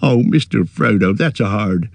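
A man's voice: on the low side, lively intonation, moderate pace, vocal fry, no room sound. A man voicing a loyal, plain-spoken male hobbit servant in an audiobook, with rustic, earnest, stubborn speech. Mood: hurt, pleading.

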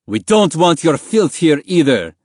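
A man's voice: on the high side, very animated, measured pace, a little mellow, dry recording, studio quality, pitched above the performer's own voice. A man as a brutal border guard, raised voice. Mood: hostile.

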